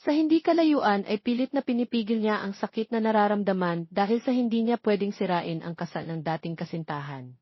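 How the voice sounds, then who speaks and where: A woman's voice: mid-range voice, no echo. Woman narrating, dull dry recording. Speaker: audio story narrator. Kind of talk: scripted dramatic story narration.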